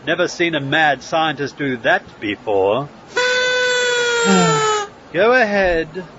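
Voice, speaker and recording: A man's voice: fairly high pitch, expressive, takes it slowly, bright, forceful tone, highs cut off, a little hiss or hum, exaggerated voice. A man playing a haughty, dismissive mad science fair judge with a pompous delivery in a comic audio drama. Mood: mocking interest.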